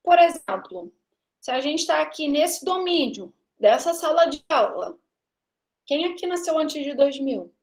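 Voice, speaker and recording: A woman's voice: high-pitched, lively intonation, unhurried, darkish tone. A woman in her thirties from Brazil giving a live classroom lecture, chatty and teasing with her students, often checking in with them. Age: 30s. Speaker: university lecturer.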